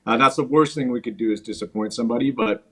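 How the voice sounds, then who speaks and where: Male guest, easygoing, earnest, mid pitch. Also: fast, fairly bright tone, breathy edge. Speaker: company CEO, guest. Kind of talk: casual interview.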